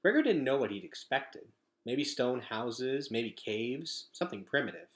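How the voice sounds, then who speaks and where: Animated, bright-voiced man reading aloud. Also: mid-range voice, measured pace. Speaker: read-aloud storyteller. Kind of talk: animated children's book reading.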